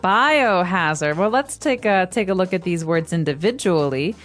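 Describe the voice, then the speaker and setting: A woman's voice: lowish voice, expressive, moderate pace, faint music behind. A woman co-hosting an English-learning podcast, patiently explaining vocabulary in casual chat with examples. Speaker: podcast co-host, English teacher.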